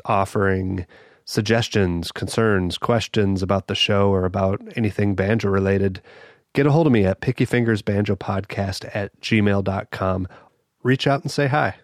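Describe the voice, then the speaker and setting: A man's voice: on the low side, dry recording, clean studio sound. A man hosting a podcast with a voice scratchy from a cold, talking in an easygoing way with small restarts. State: hoarse from a cold. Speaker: podcast host. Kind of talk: casual spoken podcast intro. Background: banjo music.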